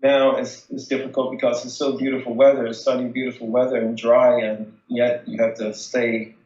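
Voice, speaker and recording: A man's voice: medium pitch, little pitch movement, darkish tone. Echoey, muffled American man on a call. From United States. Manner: halting, searching for words. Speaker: interviewee, local resident. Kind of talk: casual phone interview. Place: remote phone call. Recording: dull, echoey phone call.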